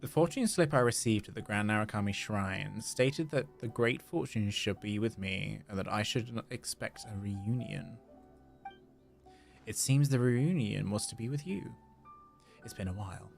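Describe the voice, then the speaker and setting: Gravelly, low-voiced man livestreaming a game. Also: normal speed. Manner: playful, chatty, repeats phrases. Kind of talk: casual gaming livestream chat, reading game dialogue aloud. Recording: game music behind the voice. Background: video game music.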